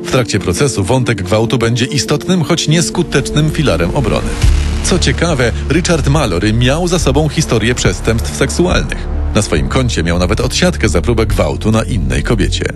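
A man's voice: mid-range voice, sing-song, moderate pace, music in the background. A man narrating a scripted true-crime radio programme as a dramatic, present-tense storyteller.

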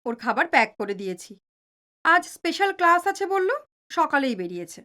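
An actress playing a sharp-tongued woman of a family household in an audio drama, sarcastic and bantering. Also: high voice, sing-song, moderate pace, exaggerated voice. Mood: teasing.

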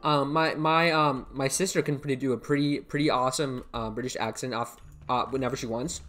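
A man's voice: medium pitch, steady tone, brisk, fairly bright tone, soft background music. A young American man hosting a live game stream, chatting casually, reading out chat messages and thanking viewers by name over a headset mic. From United States. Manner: rambling, repeats himself, thanks viewers by name. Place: indoor room at a computer.

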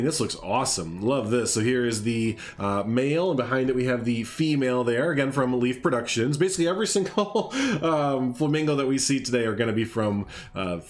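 A man with a congested, nasal voice hosting a casual live stream, chatting in a rambling way with lots of fillers. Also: medium pitch. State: congested, stuffed up. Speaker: streamer hosting a game showcase.

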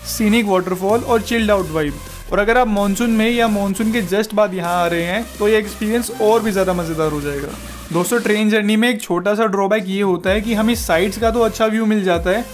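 Upbeat high-voiced man narrating travel. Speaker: travel channel host. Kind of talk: scripted travel voice-over.

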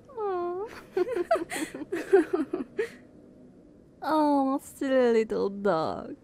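A woman acts out a silky, dominant vampire sister who treats the listener as a pet. Her voice is fairly high yet mellow and breathy, drawn out very slowly, sweetly condescending. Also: slight background noise, full character voice. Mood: mocking, condescending. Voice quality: soft, breathy, often whispered. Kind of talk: ASMR roleplay acting.

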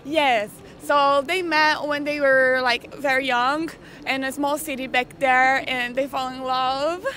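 Lively woman, high and bright. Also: slow. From Brazil. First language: Portuguese. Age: 20s. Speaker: interviewee. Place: outdoors on a busy city street at night. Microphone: handheld mic.

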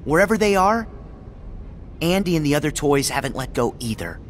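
High-voiced, spirited young game hero. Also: male voice, sing-song, normal speed, slight background noise. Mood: heartfelt. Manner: emphatic, heartfelt. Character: earnest, spirited teenage boy hero, loyal to friends. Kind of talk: animated video game acting.

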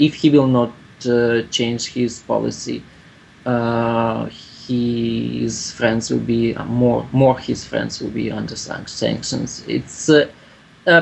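Halting Russian-accented man, slow and gravelly. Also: mid-range voice, a little mellow, dull audio, slight background noise. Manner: halting, many "uh" fillers, searching for English words. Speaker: human rights activist, interviewee. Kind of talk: interview answers. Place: small room indoors. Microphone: webcam on a video call.

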